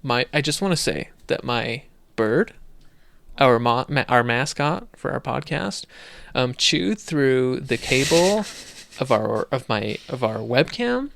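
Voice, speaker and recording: A man's voice: mid-range voice, big pitch swings, takes it slowly, vocal fry, fairly bright tone. A man co-hosting a casual podcast, chatting loosely with many fillers and restarts. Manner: rambling, lots of fillers and restarts. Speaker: podcast co-host.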